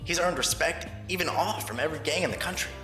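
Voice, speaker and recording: A man's voice: a little high, fast, close to an everyday voice. A man voicing an earnest, wary teenage schoolboy protagonist in an amateur fan dub. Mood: impressed. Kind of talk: fan dub voice acting, inner monologue. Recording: roomy amateur recording over game music.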